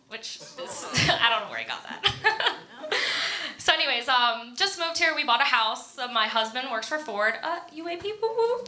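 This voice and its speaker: A woman's voice: sits high, sharp tone. An American woman doing a self-deprecating stand-up set in a casual storytelling way, often giggling as she goes. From Florida, United States. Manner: giggles often through own delivery. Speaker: stand-up comedian. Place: indoor comedy club stage. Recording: echoey room, live comedy club.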